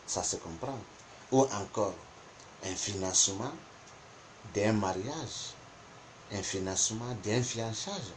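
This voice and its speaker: A man whose first language is not French, teaching a spoken lesson on interpretation, deliberate and repeating points for emphasis. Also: fairly low pitch, slight background noise. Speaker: geomancy teacher.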